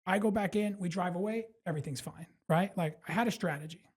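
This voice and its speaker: Comedian guest, man, New York accent, slightly husky, loose and profane storyteller. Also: sits high, quick pace. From Brooklyn, New York. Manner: animated, profane, rambling, full of fillers and self-mockery. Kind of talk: casual storytelling in a podcast interview.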